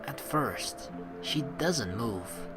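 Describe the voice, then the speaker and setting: A man's voice: mid-range voice, expressive, drawn-out words. A man hosting a let's play, informal and rambling, moving between casual commentary and reading story text aloud. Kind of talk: casual game commentary mixed with dramatic read-aloud narration. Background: game music.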